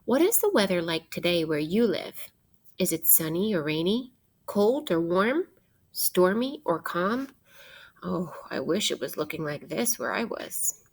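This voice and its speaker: A woman teacher reading a children's science book aloud in a deliberate way, putting questions directly to young listeners. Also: lowish voice, big pitch swings, husky.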